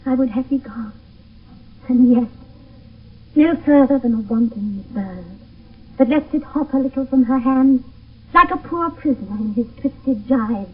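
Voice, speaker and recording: An old, tinny radio play with a distant sound. a lovestruck British maiden, high and breathy, speaking verse with fervent, anxious tenderness. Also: female voice. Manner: theatrical, fervent verse delivery. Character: Juliet, lovestruck young maiden, ardent and anxious. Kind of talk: 1970s classical radio drama verse acting.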